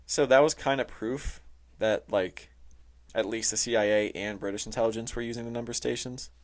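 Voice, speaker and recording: A man explaining things casually as a podcast host, halting and informal, often saying "like". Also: medium pitch, fairly even intonation. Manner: informal, halting, heavy use of "like". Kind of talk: casual explainer talk reading from notes. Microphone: close, touchy microphone.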